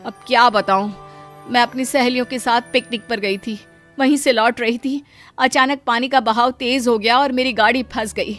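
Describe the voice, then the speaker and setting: Emotional wealthy lady acted by a woman, humbled and earnest, cartoon drama, moderately high pitch. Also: steady tone, moderate pace, fairly bright tone, soft background music, natural voice. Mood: shaken. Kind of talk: cartoon moral story acting.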